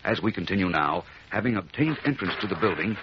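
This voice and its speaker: A man's voice: sits low, animated, quick pace. A man announcing and narrating a 1940s radio serial in a dramatic, emphatic scripted style. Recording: muffled, faintly noisy 1940s radio recording.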